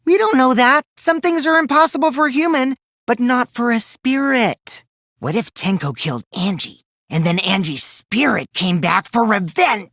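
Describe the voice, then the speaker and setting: A man's voice: very high voice, lively intonation, normal speed, a bit bright, dry recording, clean studio sound, exaggerated voice. An actor playing a mischievous, taunting teenage boy in a video game, theatrical and teasing, with a youthful boyish voice that can turn from cutesy to cold. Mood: playful, explaining. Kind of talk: animated game dialogue in a murder-mystery trial.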